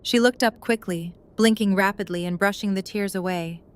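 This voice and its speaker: A woman's voice: mid-range voice, moderate pace. A woman reading scripted third-person story narration as the narrator of an audio drama.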